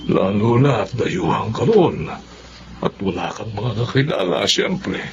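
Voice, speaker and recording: A man's voice: fairly low pitch, expressive, a little slow, highs cut off, a little hiss or hum. A man playing a tender, protective middle-aged father in a Filipino radio drama. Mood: worried, tender.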